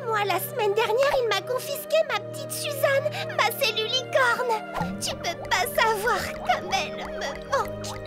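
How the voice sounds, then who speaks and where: Tiny, extremely squeaky amoeba pal. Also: expressive, unhurried. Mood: sad, wistful. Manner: exaggerated, emotive. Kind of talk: French cartoon dubbing. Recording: loud music under the voice.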